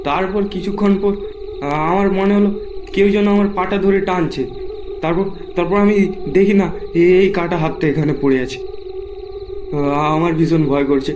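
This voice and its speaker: A man's voice: sits high, normal speed, background noise, natural voice. A man playing a cowardly, panicky young man in a horror cartoon, with stammering, whiny, pleading delivery. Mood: frightened.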